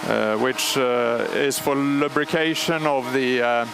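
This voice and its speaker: A man's voice: medium pitch, vocal fry. Swedish man explaining machinery over noise. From Sweden. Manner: measured, explanatory, frequent pauses and fillers. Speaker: superintendent of a historic radio transmitter start-up. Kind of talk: live technical commentary. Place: large machine hall at a radio station. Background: humming machinery and alarm bells.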